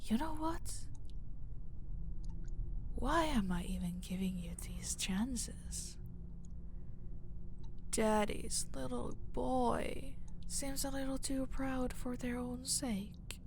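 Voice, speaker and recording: A woman playing a cold, domineering mafia boss in a roleplay audio drama, taunting and menacing, often dropping into a breathy hush. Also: a little high, takes it slowly, long pauses, a little hiss or hum. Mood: irritated, domineering.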